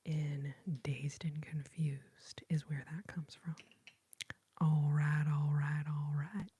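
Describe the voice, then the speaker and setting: A woman from Texas in her thirties, whispering softly and breathily as she chats with viewers on an ASMR livestream. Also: deep voice, level tone, takes it slowly, breathy edge. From Austin, Texas. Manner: soft whisper, chatting with viewers, giggly.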